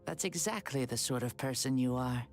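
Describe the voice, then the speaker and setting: A woman voicing an ancient hero in a young boy's body, speaking formally and gently in a video game. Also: extremely low pitch, lively intonation, normal speed. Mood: solemn, gentle. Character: ancient hero reborn as a serene young boy. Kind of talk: anime video game voice acting. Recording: faint music behind.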